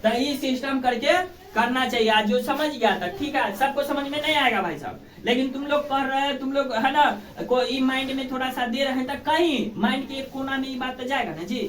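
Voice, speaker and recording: A woman teacher with a fairly high voice and a Bihari accent, recorded in a very echoey room a little away from the microphone. She speaks colloquially, urging her pupils on with rhetorical questions and frequent tag questions to check they understand. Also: a little fast, far from the mic, slight background noise. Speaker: school history teacher. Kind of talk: informal classroom lesson with rhetorical questions.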